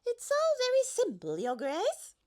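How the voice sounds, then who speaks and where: A woman's voice: very high voice, wildly swooping pitch, a little slow, warm tone, voice pushed up, exaggerated voice. A woman voicing a proud, superior female giraffe from a children's storybook, polite but haughty. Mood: bright, obliging.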